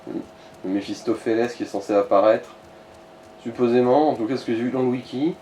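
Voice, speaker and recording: A man's voice: mid-range voice, fairly quick, warm tone, distant mic, low-quality recording. A man giving casual co-commentary on a video game, explaining things and interjecting asides. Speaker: co-commentator helping the player. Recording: faint background noise.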